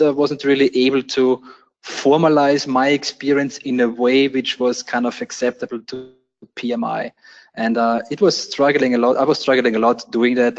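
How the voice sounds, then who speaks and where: A man's voice: medium pitch, lo-fi sound. Austrian man, hesitant webinar talk. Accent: Austrian German. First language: German. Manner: hesitant, many fillers and restarts. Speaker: webinar guest speaker. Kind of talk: casual webinar testimonial. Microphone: webinar call audio.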